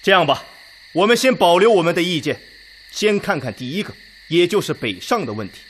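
An actor playing a measured, weighty Red Army commander who consults his officers in a Chinese radio drama. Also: sits high, lively intonation, a bit bright, slight background noise. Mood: grave, measured.

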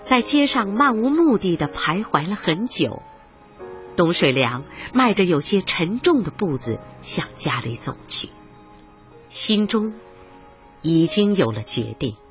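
A narrator delivering scripted dramatic radio narration in a measured, expressive manner. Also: medium pitch, lo-fi sound, faint music behind.

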